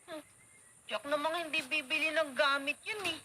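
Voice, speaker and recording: An actress playing a spoiled, spiteful student daughter in a radio drama, snippy and dismissive. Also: female voice, high-pitched, fairly even intonation, moderate pace, natural voice. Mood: scornful, tattling. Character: spoiled, spiteful student daughter, scornful of her thrifty cousin. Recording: noisy radio drama broadcast.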